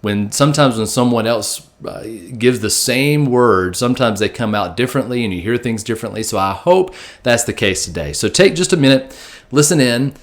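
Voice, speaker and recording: A man speaking as a pastor, giving a casual, informal and somewhat halting introduction to a recorded message. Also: medium pitch, expressive.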